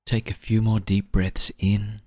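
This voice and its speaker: A man guiding a meditation in a soft, breathy, soothing voice, gently and unhurriedly, with long pauses. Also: lowish voice, little pitch movement, no room sound, studio quality. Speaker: meditation guide. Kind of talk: guided meditation narration.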